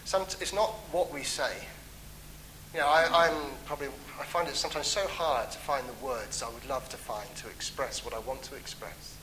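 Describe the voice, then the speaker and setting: A man preaching a sermon in an earnest, pleading way, his voice lifting for emphasis and dropping soft at the ends of phrases. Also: mid-range voice, steady tone, moderate pace, noisy background. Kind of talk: sermon on a psalm.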